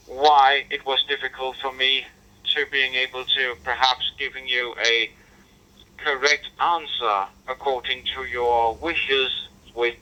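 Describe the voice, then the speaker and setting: A man's voice: mid-range voice, bright, forceful tone, clear, resonant tone, rough recording. A man with a Danish accent chatting over amateur radio, polite and hesitant, full of fillers and restarts. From Denmark. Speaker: ham radio operator. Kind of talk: two-way radio chat.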